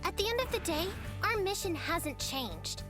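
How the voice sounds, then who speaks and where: Very high, spirited young heroine. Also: female voice, big pitch swings, normal speed. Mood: determined. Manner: emotional, earnest. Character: spirited, impatient young heroine, fiercely loyal. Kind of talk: animated video game cutscene acting. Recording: loud game music behind.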